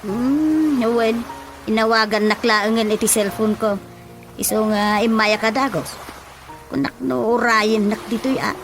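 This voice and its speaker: Evasive, high-voiced village councilwoman. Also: unhurried, poor audio. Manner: hesitant, hedging. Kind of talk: radio drama acting in Ilocano. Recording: faint music behind the voice. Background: light background music.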